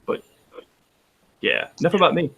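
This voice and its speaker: A man's voice: a little high, big pitch swings, moderate pace, gravelly, sharp tone, a little hiss or hum. A man with a Texan accent chatting casually on a roundtable, rambling with frequent restarts. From Texas. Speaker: roundtable panelist. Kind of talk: casual chat.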